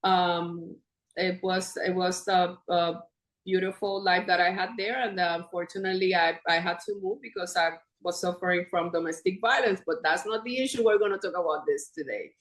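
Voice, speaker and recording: A woman's voice: fairly low pitch, fairly even intonation, normal speed, echoey room, poor audio. Chatty Puerto Rican woman in her fifties. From Puerto Rico. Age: 50s. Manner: chatty, laughs often, many restarts. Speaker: podcast guest. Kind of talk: casual interview chat.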